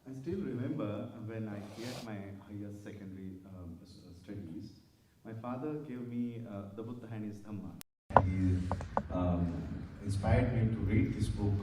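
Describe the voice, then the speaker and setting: A man's voice: fairly low pitch, noisy background. Distant man in a very echoey hall. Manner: hesitant, many fillers. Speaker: panel speaker. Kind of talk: symposium talk.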